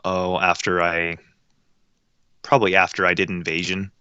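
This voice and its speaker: A man's voice: sits low, little pitch movement, normal speed, bright, forceful tone, lo-fi sound. A young man in his late teens, a voice actor and director, answering interview questions in a hesitant, modest way with frequent ums. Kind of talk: casual interview chat.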